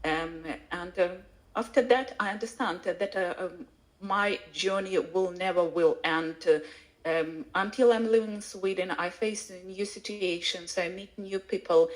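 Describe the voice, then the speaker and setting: A woman speaking non-native English with a foreign accent, a language teacher giving a personal account haltingly, searching for words. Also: fairly low pitch, poor audio. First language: not English. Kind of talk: personal account at a live online event.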